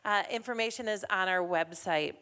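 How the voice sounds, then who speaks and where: A woman's voice: mid-range voice, normal speed, sharp tone. Upbeat woman emceeing a chamber breakfast. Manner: upbeat and chatty, with frequent fillers. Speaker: chamber of commerce event host. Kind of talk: emcee announcements at a morning business event. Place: event hall with a live audience.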